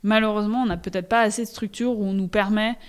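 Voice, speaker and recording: A woman's voice: medium pitch, steady tone, fast talker, clear, resonant tone. A young woman from France with a French accent, talking candidly and casually as an interview guest, often hesitating as she searches for words. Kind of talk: casual conversational interview, personal account.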